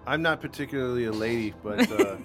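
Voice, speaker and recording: A man in his forties co-hosting a casual podcast, talking excitedly, stammering and repeating words, and getting loud when he laughs. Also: medium pitch, fast, over loud music. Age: 40s. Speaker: podcast co-host. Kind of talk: casual live podcast chat.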